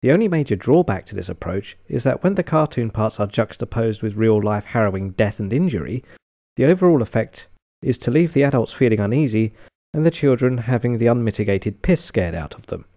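British guy reviewing stuff, dry sarcastic and sweary, dark gravelly voice. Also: medium pitch, brisk. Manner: deadpan, sardonic, sweary. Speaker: film reviewer. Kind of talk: scripted review narration.

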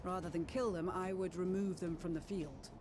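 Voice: mid-range voice, fairly even intonation, normal speed, music in the background, natural voice. An actor playing a composed, principled commander, speaking formally and with measure in scripted game dialogue. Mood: composed, resolute. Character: composed, principled commander giving a military briefing.

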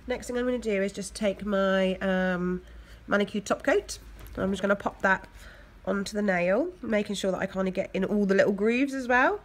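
A woman with an English accent, hoarse from a cold, chatting casually as she talks through a nail art tutorial. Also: medium pitch, moderate pace, few pauses. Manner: chatty, loose, lots of fillers. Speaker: nail technician. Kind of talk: step-by-step tutorial narration.

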